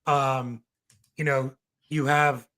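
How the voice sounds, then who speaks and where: A hoarse man hosting a casual podcast interview, talking in a relaxed, laid-back way with many ums and uhs. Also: mid-range voice, drawn-out words. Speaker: podcast host.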